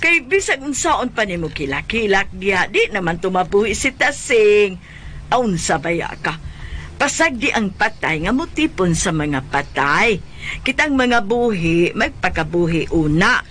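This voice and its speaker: A woman playing a brisk, blunt, nosy widow in a Bisaya comedy radio drama, exclaiming in a Filipino accent. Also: medium pitch, sing-song, a bit bright, a little hiss or hum. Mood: blunt, lively.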